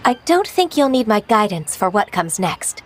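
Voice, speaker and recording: A woman voicing a calm, polite secretary. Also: medium pitch, normal speed, slight background noise, natural voice. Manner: composed, formal, gently firm. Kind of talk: video game voice acting.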